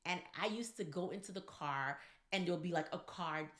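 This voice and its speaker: A woman co-hosting a casual podcast with her husband, talking straight to the listeners in an animated, preachy way. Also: fairly low pitch, a little fast, reverberant. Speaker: co-host.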